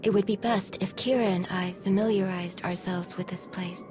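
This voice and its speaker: A woman playing a serene, wise Jedi mentor in a video game, speaking formally and calmly in measured phrases. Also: on the low side, lightly acted. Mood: calm, reassuring. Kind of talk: video game dialogue acting. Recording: music behind the voice.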